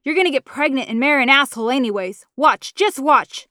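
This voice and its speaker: A woman voicing a big, domineering mother who yells and curses at her daughter in a storybook reading. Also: fairly high pitch, moderate pace, no echo, studio quality, close to an everyday voice. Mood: angry, scolding. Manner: yelling, cursing, scornful. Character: harsh foul-mouthed mother, big, furious, domineering.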